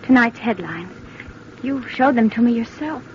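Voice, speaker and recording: An actress playing an anxious, devoted young sweetheart, earnest and insistent, in an old-time radio drama. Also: female voice, on the high side, animated, moderate pace, lightly acted. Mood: anxious, pleading. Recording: muffled, noisy vintage radio recording.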